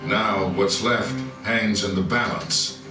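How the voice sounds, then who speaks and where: A grave, doom-laden trailer narrator for an audio drama. His lowish voice echoes from a distance under loud guitar music. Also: fairly even intonation, slow. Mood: grave, ominous.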